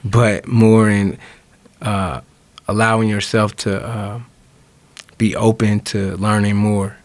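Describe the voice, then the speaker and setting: American man, slow pace, flat intonation, halting thoughtful phrases, casual podcast talk, dry sound. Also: fairly low pitch, no echo. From Indianapolis, USA. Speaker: podcast guest, music artist. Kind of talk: casual conversational podcast introduction.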